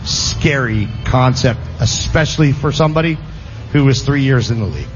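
A man's voice: mid-range voice, moderate pace, highs cut off, slight background noise. A man hosting live sports radio, hammering his points by repeating words. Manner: emphatic, repeats phrases for stress. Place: live venue broadcast.

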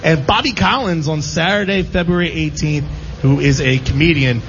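A man's voice: a little high, normal speed, fairly bright tone. A man hosting a live radio show in a casual, bantering style. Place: busy casino floor, live broadcast. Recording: dull sound with faint venue noise. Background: faint casino ambience.

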